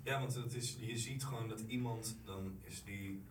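A young man in a casual group discussion, searching haltingly for words, recorded from a distance in an echoey, noisy room. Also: lowish voice, steady tone. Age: young adult. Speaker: drama student discussing a play. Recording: echoey room, distant mic, loud noise.

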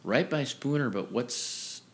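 A man's voice: mid-range voice, expressive, takes it slowly. A man chatting casually as a podcast guest, rambling and correcting himself as he goes, laughing easily. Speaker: musician guest. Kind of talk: casual interview chat. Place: family home.

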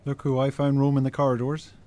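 An actor playing a farmer's worried, blunt younger brother with an Irish accent in a naturalistic radio drama. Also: male voice, mid-range voice, monotone, quick pace, darkish tone, slight background noise, close to an everyday voice. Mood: stern, guilty.

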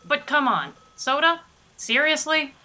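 A woman's voice: high-pitched, sing-song, measured pace, bright, forceful tone, heavily acted. A woman playing a sarcastic, skeptical radio station colleague in a comic 1930s-style radio play, bluntly disbelieving. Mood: incredulous. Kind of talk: 1930s radio play acting, comedy spoof.

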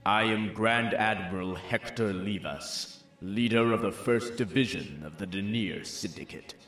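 A man's voice: lowish voice, measured pace, fairly bright tone. A man playing a haughty, menacing villain admiral in a sci-fi audio drama, pompous and contemptuous. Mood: menacing, gloating. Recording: echoey, distant, faint music. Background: faint music.

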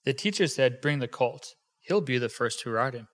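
A man's voice: medium pitch, expressive, moderate pace, fairly bright tone, lightly acted. A man voicing an eager, confident disciple in a rhymed storybook tale.

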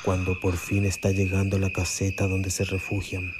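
A man's voice: deep voice, monotone, moderate pace, very noisy. A man narrating a scripted horror radio story with dramatic suspense. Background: music and night insects.

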